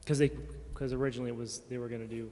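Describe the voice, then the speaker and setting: A man explaining things informally as a staff member, halting and often correcting himself. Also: mid-range voice, flat delivery, warm tone. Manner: halting, self-correcting, casual. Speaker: city staff member answering council questions. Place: large indoor council chamber. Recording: reverberant council chamber, room noise.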